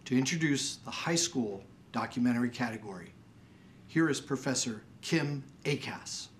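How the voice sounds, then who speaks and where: A man's voice: medium pitch, lively intonation, normal speed, a little hiss or hum. A man in his sixties giving a scripted awards show introduction. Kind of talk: scripted presentation. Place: indoor studio set.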